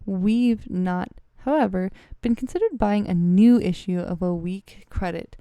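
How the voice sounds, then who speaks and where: A woman's voice: medium pitch, measured pace, mellow, clean tone, dry recording. A woman reading a text aloud, stumbling over words and correcting herself as she goes. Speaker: vlogger reading a letter aloud.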